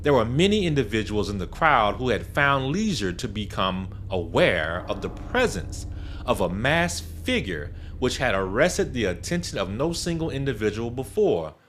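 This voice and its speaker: A man narrating a gothic horror tale in a dramatic, deliberate, scripted style. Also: medium pitch, big pitch swings, fairly bright tone, a little hiss or hum. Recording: prominent music bed under narration. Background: music.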